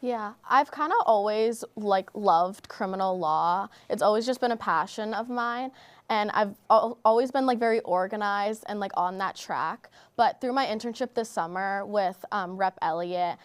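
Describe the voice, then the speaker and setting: A woman's voice: mid-range voice, moderate pace, smooth. A teenage girl from Bulgaria, answering interview questions in a chatty way, saying "like" often. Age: teens. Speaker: high school student guest.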